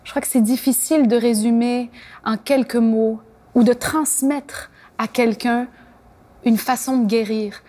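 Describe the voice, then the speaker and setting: A woman's voice: a little high, fairly even intonation, unhurried, warm tone. A woman interviewed as a singer, telling stories in a thoughtful, reflective way and sometimes searching for her words.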